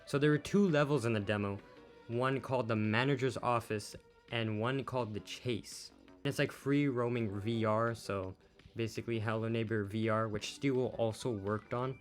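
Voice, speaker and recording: A man's voice: medium pitch, steady tone, normal speed. A man giving a casual gaming news rundown as a video host, talking informally as he goes through updates. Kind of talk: casual news summary commentary over images. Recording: music behind the voice.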